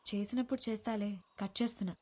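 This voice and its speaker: A woman's voice: mid-range voice, steady tone, quick pace, a little mellow, lightly acted. An actress playing a shy but teasing young woman from a village, talking on the phone in film dialogue. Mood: coy. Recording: film soundtrack with music behind.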